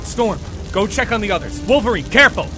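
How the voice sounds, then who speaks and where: A man's voice: sits high, animated, a little fast, over music. A man playing an earnest superhero team leader in an audio drama, barking urgent orders. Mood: urgent, commanding.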